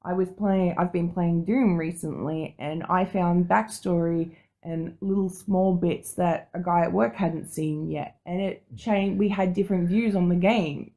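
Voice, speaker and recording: A woman's voice: on the low side, fairly even intonation, a little slow, warm tone. A woman co-hosting a podcast, talking casually in an opinionated, informal way with some swearing. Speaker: podcast co-host. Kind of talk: casual panel discussion.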